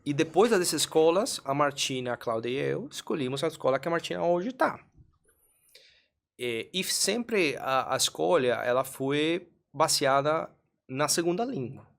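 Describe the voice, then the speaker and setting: A man from Colombia, a native Spanish speaker, talking as a podcast guest in Portuguese with a Colombian accent, earnest and measured, sometimes searching for words. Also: fairly high pitch, expressive, gravelly, a bit bright. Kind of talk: casual interview storytelling.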